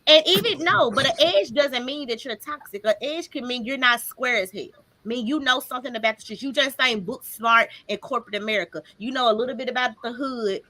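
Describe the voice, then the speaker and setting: A woman's voice: on the high side, a little fast, few pauses, bright, forceful tone, slight background noise. A woman on a podcast panel giving her opinions emphatically in casual, slangy conversation.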